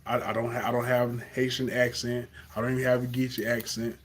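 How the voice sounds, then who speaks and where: A man's voice: medium pitch, little pitch movement, fast. A young man from Carolina with a Southern accent, talking earnestly off the cuff in a live stream monologue, with fillers and restarts.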